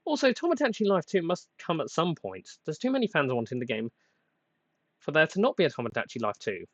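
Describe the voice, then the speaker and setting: Young male podcast host, rambling and hesitant, plain flat voice, slightly under the weather; dry, dull lo-fi mic. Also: on the high side, a little fast, dry recording. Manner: rambling, self-deprecating, hesitant with many uhs and restarts. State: getting over a cold. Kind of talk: casual solo podcast rambling.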